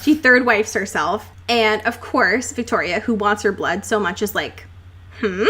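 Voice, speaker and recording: A woman's voice: medium pitch, a little slow, pushed and bright, husky. A young adult woman co-hosting a podcast, chatting informally and recapping a story with plenty of swearing and filler "like"s. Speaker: podcast co-host. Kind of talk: casual chat, recapping a novel.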